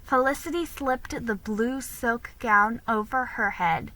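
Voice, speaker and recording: A woman's voice: fairly high pitch, slow pace, pushed and bright, rough recording. A woman giving a dramatic storybook narration, now and then stumbling and repeating words. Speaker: amateur story reader.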